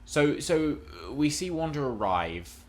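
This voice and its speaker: A man's voice: fairly high pitch, sing-song, slow pace. A man co-hosting a podcast, discussing film and TV casually in an emphatic, analytical, argumentative way. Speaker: podcast co-host.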